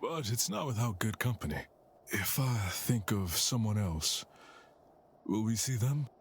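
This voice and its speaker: An actor playing a gentle, courteous, wistful man far from home in a mystery game. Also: fairly low pitch, lively intonation, unhurried, slight background noise. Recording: background ambience under game dialogue.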